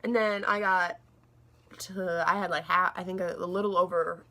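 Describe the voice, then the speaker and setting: A woman's voice: fairly low pitch, fairly even intonation, moderate pace, sharp tone. Young woman with a scratchy throat. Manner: rambling, says like a lot, restarts often. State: scratchy, slightly gravelly throat. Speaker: book reviewer. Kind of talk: casual chatty book review vlog.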